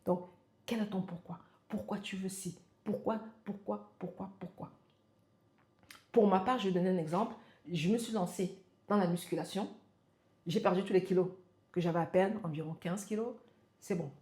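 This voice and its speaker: A woman's voice: mid-range voice, normal speed, pauses often, warm tone, roomy sound. A woman in her forties giving self-help advice to camera, insistent, repeating her points and speaking straight to the listener. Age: 40s. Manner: insistent, repeating for emphasis, addresses viewer as tu. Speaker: motivational coach.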